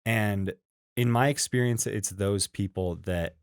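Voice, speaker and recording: Brief: male interview host, very low voice, relaxed and affirming, dry close mic. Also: measured pace, clear, resonant tone, no room sound. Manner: affirming, easygoing. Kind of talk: casual interview talk.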